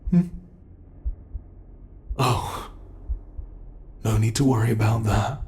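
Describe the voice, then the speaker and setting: A British man voicing a cruel male monster who keeps a human captive, taunting in a slow, mocking way for an ASMR horror roleplay. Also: on the low side, darkish tone, slight background noise. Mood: gloating menace.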